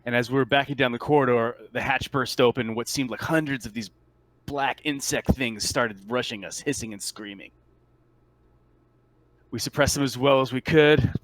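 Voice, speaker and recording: A man playing a shaken marine soldier in a tabletop roleplay, giving a halting, stammering account of a disastrous mission. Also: mid-range voice, a bit bright, no echo, lightly acted, poor audio. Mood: urgent recounting. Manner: halting, stammering, incredulous testimony. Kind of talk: improvised tabletop roleplay monologue.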